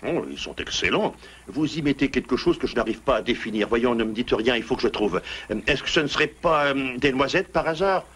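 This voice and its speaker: A man's voice: medium pitch, lively intonation, a little fast, fairly bright tone, slight background noise, natural voice. A man voicing a sensitive, self-pitying loner animal in a French cartoon, with a plaintive, formal and dramatic delivery. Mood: pleased, modest. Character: sensitive, self-pitying male loner animal, once wronged, gruffly kind. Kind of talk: French cartoon dub acting.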